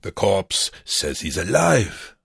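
A man's voice: medium pitch, lively intonation, takes it slowly, creaky, breathy edge, no room sound, studio quality, pitched above the performer's own voice, heavily acted. A man voicing a bitter, goading concentration camp prisoner in an audiobook, taunting and urgent. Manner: taunting, urgent, sometimes whispered. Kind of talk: audiobook character voice.

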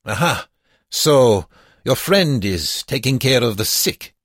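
A man's voice: mid-range voice, sing-song, takes it slowly, fairly bright tone, breathy edge, dry recording, studio quality, voice pushed up, heavily acted. A man voicing an arrogant young Nazi camp guard in an audiobook, cruel and petulant, barking his orders. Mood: mocking. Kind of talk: audiobook character voice.

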